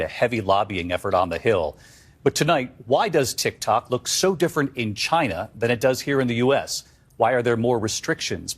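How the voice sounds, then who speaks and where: A man anchoring the evening news, reading a scripted report in a measured, authoritative way. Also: medium pitch, husky. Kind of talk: scripted broadcast news read.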